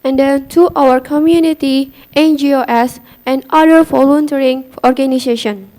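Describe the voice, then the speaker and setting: A woman's voice: high-pitched, fairly even intonation, normal speed, warm tone. A young woman from Indonesia giving a prepared student presentation, reciting numbered points carefully. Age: young adult.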